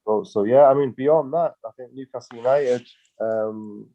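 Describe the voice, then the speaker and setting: A man's voice: mid-range voice, moderate pace, dull audio. A man co-hosting a casual business chat, rambling through his points with many fillers and restarts. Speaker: co-host.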